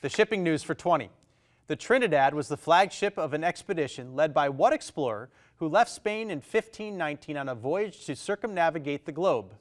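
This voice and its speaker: Upbeat quiz show host reading questions. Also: male voice, on the high side, animated. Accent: Maine. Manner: brisk and upbeat, quick reactions to answers. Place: television studio.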